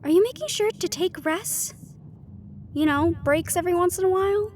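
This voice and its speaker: A high, emphatic female voice from a woman acting it out plays a brisk magical ally in a fantasy audio drama. She is candid, caring and exasperated, with faint ambience behind her. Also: a little mellow, a little hiss or hum. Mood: concerned.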